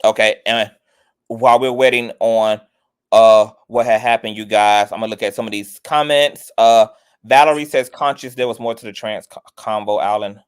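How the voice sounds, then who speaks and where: A man's voice: on the low side, fairly even intonation, moderate pace, smooth. Sassy man hosting a livestream. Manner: sassy, calls everyone girl and honey. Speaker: host of a commentary livestream. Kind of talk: casual chat with viewers.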